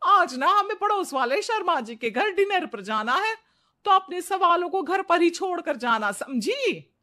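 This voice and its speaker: A woman voicing an irritable, scolding middle-aged mother-in-law in an animated story, nagging with exasperated sarcasm. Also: extremely high pitch, measured pace, pitched above the performer's own voice, heavily acted. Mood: bossy.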